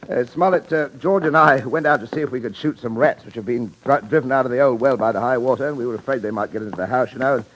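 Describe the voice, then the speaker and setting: A man playing a brusque, coolly menacing middle-aged gentleman father in a suspense radio drama, clipped and insistent. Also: mid-range voice, fast talker, hardly any pauses, slight background noise, natural voice, rough recording. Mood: coolly threatening. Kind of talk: old-time suspense radio drama acting.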